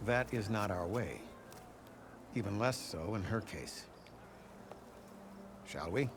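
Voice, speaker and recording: An actor playing a proud male desert clan warrior who talks in a measured, earnest way, in video game dialogue. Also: on the low side, animated, vocal fry, noisy background, full character voice.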